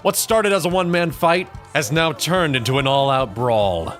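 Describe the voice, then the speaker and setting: A man's voice: a little high, moderate pace, a bit bright, faint music behind. A man narrating a scripted second-person story as the narrator of an audio drama.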